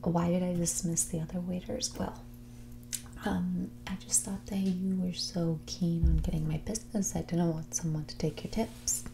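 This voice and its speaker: A woman playing a snobby, dismissive rich young woman in a whispered and soft-spoken ASMR roleplay, condescending and ditzy, saying "like" often. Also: lowish voice, little pitch movement, moderate pace, a little hiss or hum. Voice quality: breathy whisper mixed with creaky soft speech. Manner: ditzy, condescending, hesitant delivery full of "like".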